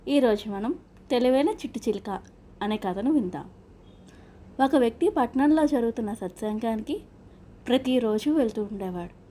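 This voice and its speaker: A woman storyteller narrates a scripted moral tale for children. Her voice is fairly high and mellow, recorded with faint noise behind it. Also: lively intonation, normal speed, pauses often. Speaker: children's storyteller, channel host.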